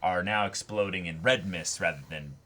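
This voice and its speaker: A man co-hosting a reaction show, casually thinking out loud and spinning speculative theories. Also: deep voice, normal speed. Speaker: co-host of an anime reaction show. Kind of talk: casual discussion.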